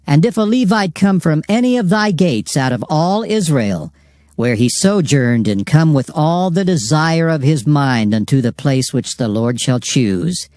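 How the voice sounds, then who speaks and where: A man's voice: mid-range voice, darkish tone, dry recording, studio quality. A man acting as a grave, commanding narrator in a dramatized King James scripture reading, solemn and declamatory. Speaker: Bible narrator.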